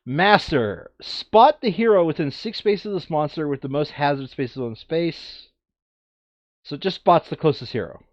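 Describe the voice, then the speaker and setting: A man's voice: a little high, expressive, moderate pace, no room sound. Man talking through a game, dull dry recording. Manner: reads rules aloud, thinks out loud. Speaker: board game player on a playthrough show. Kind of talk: casual tabletop game play commentary.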